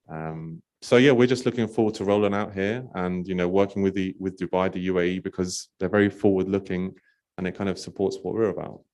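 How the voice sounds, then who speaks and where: A man from Poland, a company representative, answering panel questions in a relaxed, talkative way with many fillers. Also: deep voice. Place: conference stage.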